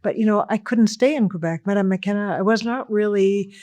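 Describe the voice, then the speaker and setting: A woman's voice: medium pitch, brisk, dark tone, no room sound. A rambling woman on a dry close mic. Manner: rambling, self-interrupting. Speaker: architect guest. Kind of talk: casual conversation.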